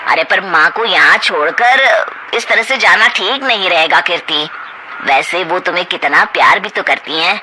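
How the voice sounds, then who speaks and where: A woman's voice: on the low side, moderate pace, pushed and bright, slight background noise, low-quality recording. A woman voicing a meek, agreeable young husband who gives in easily. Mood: mild. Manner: mild, yielding.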